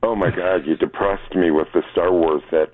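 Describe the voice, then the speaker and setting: Low-voiced older man on phone line. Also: steady tone, normal speed, dry recording. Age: 60s. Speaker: regular phone-in caller. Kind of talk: casual chat with hesitations. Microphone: telephone. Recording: tinny narrow phone line.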